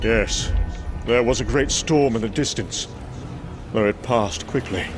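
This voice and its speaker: A man playing gruff, battle-hardened Norse thunder gods in a fantasy audio drama, theatrical and declamatory with archaic phrasing. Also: mid-range voice, a little slow, over music, natural voice. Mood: gruff, wry.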